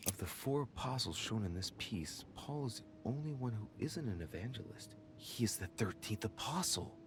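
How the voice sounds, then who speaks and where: An actor playing an inquisitive young man investigating a mystery in a video game, musing aloud in a deliberate, theatrical way. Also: lowish voice, animated, a little hiss or hum, natural voice. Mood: musing, curious. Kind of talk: dramatic game narration, thinking aloud.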